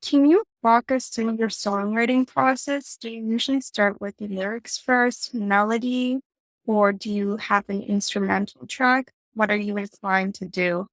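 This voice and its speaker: A woman's voice: mid-range voice, fairly even intonation, unhurried, no room sound. A woman hosting an interview podcast, giving introductions and asking prepared questions.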